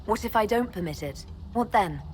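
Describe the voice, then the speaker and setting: A woman's voice: medium pitch, lively intonation, normal speed, slight background noise, lightly acted. An actress playing a skeptical, direct heroine who leads others in a fantasy game, serious and probing in her questions. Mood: challenging. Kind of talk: dramatic scripted game dialogue.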